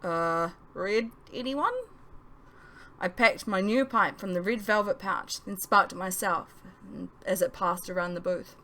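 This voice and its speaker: A woman reading her own novel aloud as expressive audiobook narration. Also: mid-range voice, normal speed.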